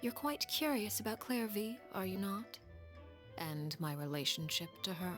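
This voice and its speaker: A woman's voice: mid-range voice, expressive, moderate pace, a bit bright, soft background music, natural voice. A woman voicing a cold, elegant commander who speaks with cool, formal courtesy in a game cutscene.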